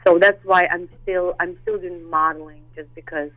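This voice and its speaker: Woman, halting, lo-fi. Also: on the low side, fairly even intonation, no echo. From Russia. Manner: hesitant, many fillers and restarts, laughs easily. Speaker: actress and model guest. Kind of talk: interview chat.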